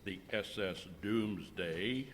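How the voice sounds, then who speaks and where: A man's voice: on the low side, a bit bright. A man playing a sinister ship's captain who draws out his words ominously, in an amateur radio horror-comedy skit. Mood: menacing. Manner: ominous, deliberate, drawn-out words. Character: sinister, slow-spoken male ship's captain. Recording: echoey hall with faint noise.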